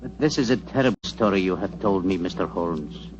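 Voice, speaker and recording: An actor as deep-voiced, solemn royal physician. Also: male voice, close to an everyday voice. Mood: grieved, solemn. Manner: solemn, formal, deliberate. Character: grave, loyal Indian royal physician, vengeful male counselor. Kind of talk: 1940s radio drama acting. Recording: dull 1940s radio broadcast, music behind.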